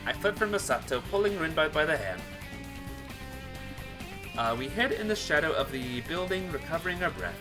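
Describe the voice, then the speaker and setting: A man's voice: on the high side, animated, moderate pace, pauses often. A man hosting a game playthrough, reading visual novel narration aloud dramatically and stumbling over some words. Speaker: gaming playthrough host. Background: game music.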